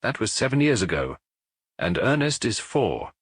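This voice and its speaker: A man's voice: lowish voice, very animated, normal speed, slightly rough, dry recording, studio quality. A man voicing a curt, indignant boarding-house proprietress with clipped, grudging answers, for an audiobook. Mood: curt, contemptuous.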